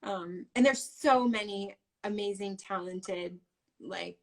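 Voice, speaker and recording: A woman's voice: medium pitch, slow pace, poor audio. A woman guest in a casual interview, talking in a rambling way with frequent laughs. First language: English. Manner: rambling, many fillers and restarts, laughs often.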